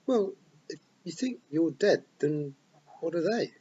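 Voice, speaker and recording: A man's voice: fairly high pitch, lively intonation, measured pace, mellow, breathy edge, lo-fi sound, voice pushed up. A man voicing a serious, practical young girl in an audiobook. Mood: puzzled. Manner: earnest, questioning.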